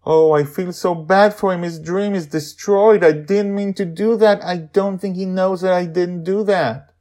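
A man's voice: high voice, steady tone, unhurried, darkish tone, pitched above the performer's own voice. A man voicing an anxious, emotional nine-year-old girl in an audio story, speaking earnestly and urgently. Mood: sad, guilty. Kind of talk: serialized audio story dialogue.

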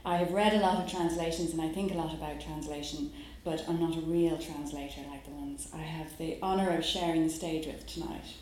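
An Irish woman from Dublin, a poet who talks her audience through her work in a musing way, pausing on fillers, then reads her poems aloud at a live event. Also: deep voice, steady tone, a little mellow, slight background noise. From Ireland. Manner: musing, with hesitant fillers between phrases. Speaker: poet giving a reading. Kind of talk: poetry reading with spoken introduction. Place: live reading venue. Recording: very echoey room.

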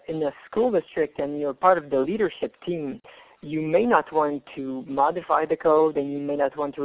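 A man's voice: fairly high pitch, dry recording. Man on a tinny phone line. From Canada. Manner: halting, hesitant delivery. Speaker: presenter. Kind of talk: webinar presentation.

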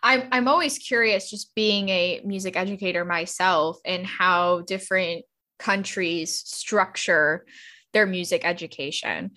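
A woman's voice: fairly low pitch, a little slow, pushed and bright. Chatty woman interviewer. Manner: upbeat, chatty, uses "like" often. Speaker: interviewer, music educator. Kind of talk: casual interview questions.

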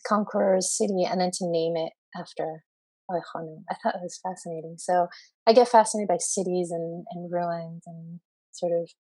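Brief: woman panel guest, a poet, dark mellow tone, halting delivery full of "um"s, dry close sound. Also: on the low side, dry recording, rough recording. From grew up in Saudi Arabia and the US. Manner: hesitant, many fillers and restarts. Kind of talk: panel discussion.

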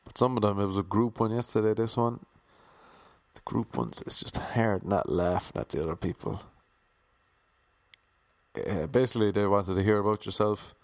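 Wry young Irishman, low dry voice. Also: normal speed, creaky, pauses often, no room sound. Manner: wry, self-mocking, playfully imitating others. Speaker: actor telling about auditions. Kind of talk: casual storytelling monologue.

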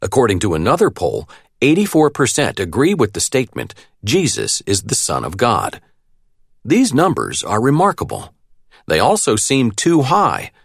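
A man reading a nonfiction audiobook as narrator, with a measured, articulate delivery. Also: lowish voice, slightly rough, no room sound, studio quality.

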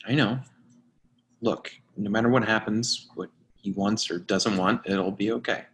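A man playing a nervous, lovestruck guy in a play reading, speaking in a halting, earnest way. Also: fairly low pitch, fairly even intonation, a bit bright, slight background noise. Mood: earnest, reassuring. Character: Sam, nervous lovestruck man confessing to a friend. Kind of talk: readers theatre play reading.

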